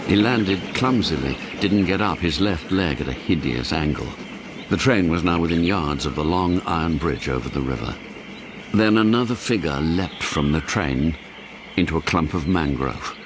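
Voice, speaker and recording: A man's voice: extremely low pitch, expressive, normal speed, background noise. A man giving dramatic scripted narration of an action story for radio.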